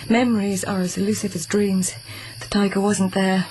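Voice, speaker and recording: An actress playing a sharp, wry court lady in a radio drama, recalling memories in a hushed, reflective voice. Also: medium pitch, flat delivery, normal speed, a little hiss or hum, lightly acted, rough recording. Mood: wistful, hushed. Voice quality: husky, slightly gravelly. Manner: hushed, reflective narration, dry replies. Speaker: narrator of memories.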